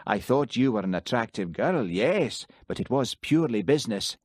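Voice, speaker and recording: A man reading an audiobook, voicing a Highland village policeman who talks plainly and questions firmly. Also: big pitch swings, moderate pace, dry recording, studio quality. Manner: plain-spoken, firm questioning. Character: Highland village policeman, easygoing but stern. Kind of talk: audiobook character voice.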